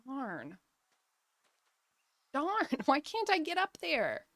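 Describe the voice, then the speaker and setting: A woman's voice: high-pitched, huge pitch swings, very slow, fairly bright tone, dry recording. A woman doing casual live gaming commentary, chatting and thinking aloud as she plays. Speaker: game streamer.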